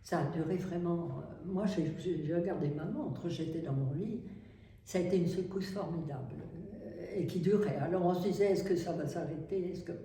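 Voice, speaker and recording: An older woman from France, a Carmelite nun, speaking in a halting, wry way with many restarts. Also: on the low side, mellow. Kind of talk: interview testimony. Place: nursery building in Syria. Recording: echoey room, distant mic, faint noise.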